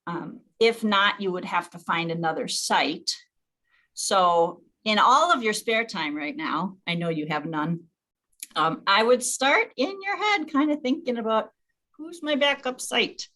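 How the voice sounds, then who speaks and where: A woman's voice: mid-range voice, bright, forceful tone. A woman leading a staff meeting, explaining things informally and thinking aloud in a casual, conversational way. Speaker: meeting leader.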